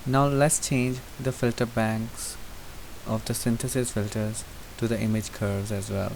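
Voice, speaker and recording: A man giving an unscripted, methodical software tutorial, explaining each step as he goes. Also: lowish voice, a little slow, creaky, low-quality recording. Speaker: presenter demonstrating software. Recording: hissy recording with steady background noise. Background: hiss.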